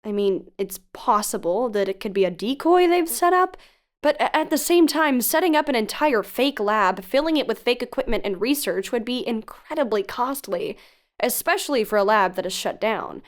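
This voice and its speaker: A woman's voice: on the high side, expressive, moderate pace, close to an everyday voice. An actress playing a cautious, analytical female researcher who investigates for a podcast, earnest and articulate, in an audio drama. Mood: reasoning. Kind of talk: audio drama acting, dialogue and narration.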